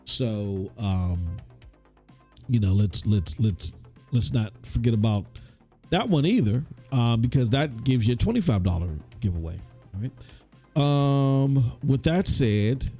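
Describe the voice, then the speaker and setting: A man's voice: mid-range voice, animated, measured pace, dark tone, faint music behind. A man hosting a casual gaming podcast, chatty and loose, his voice stuffy from a cold. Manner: loose and chatty, many restarts and fillers. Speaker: podcast host. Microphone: hot studio microphone.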